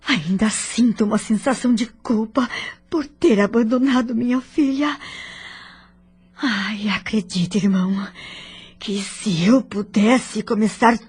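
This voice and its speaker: Woman acting a husky, plaintive, remorseful female spirit. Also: a little high, slow pace, darkish tone, exaggerated voice. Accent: Brazilian. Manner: plaintive, sighing, formal. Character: humble, remorseful, yearning female spirit, devout and tender. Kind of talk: religious audio drama acting.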